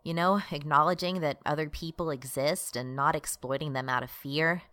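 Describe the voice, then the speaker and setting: A woman playing a calm, reasonable character who patiently admonishes in a radio drama. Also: low-pitched, moderate pace, dry recording. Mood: earnest.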